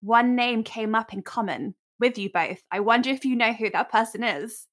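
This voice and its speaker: A woman's voice: fairly high pitch, moderate pace. A woman hosting a podcast interview, upbeat and gushing, laughing often as she introduces her guests. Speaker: podcast host.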